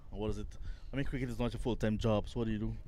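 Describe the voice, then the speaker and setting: A man's voice: lowish voice, steady tone, rapid-fire, warm tone, slight background noise. A man doing live cricket commentary, calling the shots and interviewing a player between balls.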